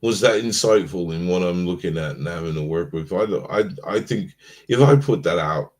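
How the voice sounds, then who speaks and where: A man co-hosting a casual live-stream chat, drowsy from being stoned, rambling and trailing off in a mellow way. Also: deep voice, expressive, normal speed. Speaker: podcast co-host.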